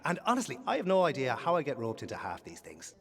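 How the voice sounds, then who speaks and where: A man's voice: mid-range voice, wildly swooping pitch, fast talker, fairly bright tone, husky, big-room sound, heavily acted. A man playing a wry, easily distracted treasure hunter in a video game, quippy and theatrical. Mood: playful. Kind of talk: video game character dialogue.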